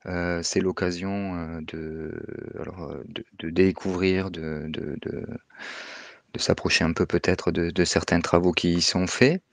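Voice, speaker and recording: A man speaking as a guest panelist, explaining casually in halting speech with many fillers and repeats. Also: low-pitched, little pitch movement, vocal fry, dry recording.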